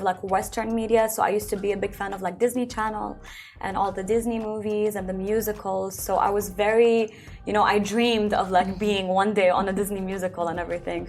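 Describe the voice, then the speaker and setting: A young woman who grew up in Belgium, a singer talking casually in an interview, answering in long runs with many fillers. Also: medium pitch. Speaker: singer-songwriter guest.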